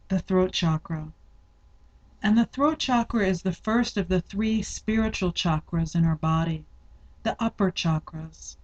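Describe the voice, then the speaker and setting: Female meditation guide, mid-pitched voice, very unhurried and deliberate delivery, slightly muffled lo-fi sound. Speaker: yoga nidra meditation guide. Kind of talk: guided meditation, slow instructional narration.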